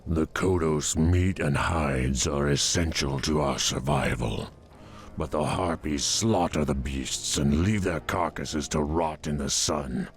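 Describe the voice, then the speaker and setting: A man's voice: rumbling low, slow, heavily acted. An actor playing a grave, earnest tauren tribesman in a fantasy game, speaking solemnly and formally. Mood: troubled. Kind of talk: fantasy game cutscene acting.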